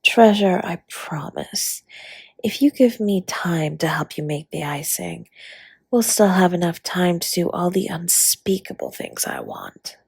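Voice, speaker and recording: A woman playing a flirty, doting dragon baker spouse in an intimate romantic audio roleplay, speaking in a husky, breathy, teasing way that often drops to a whisper. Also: fairly low pitch, sing-song, measured pace, darkish tone, dry recording, heavily acted. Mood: flirty, tender.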